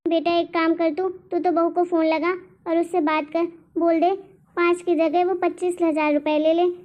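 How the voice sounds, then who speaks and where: Woman as a shrill, fretful cartoon mother-in-law. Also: level tone, normal speed, a little mellow. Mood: regretful. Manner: fretful, nagging. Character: anxious, complaining older mother-in-law with aching knees. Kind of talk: Hindi cartoon family drama voice acting.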